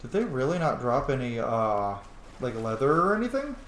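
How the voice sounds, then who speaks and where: A man giving casual, informal gameplay commentary to his viewers, excitable and prone to swearing. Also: mid-range voice, moderate pace. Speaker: let's play host. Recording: roomy echo, faint background noise.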